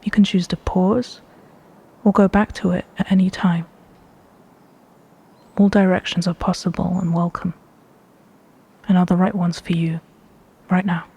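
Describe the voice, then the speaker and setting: Breathy woman doing guided meditation, soft husky voice, measured phrases w pauses. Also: on the low side, little pitch movement. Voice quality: breathy, hushed. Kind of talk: slow guided meditation narration.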